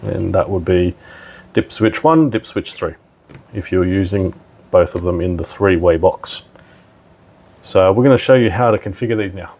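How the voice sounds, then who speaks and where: Deep-voiced man with gravelly creak, giving instructions. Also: normal speed, darkish tone. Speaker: product tutorial presenter. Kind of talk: casual step-by-step hands-on technical demonstration.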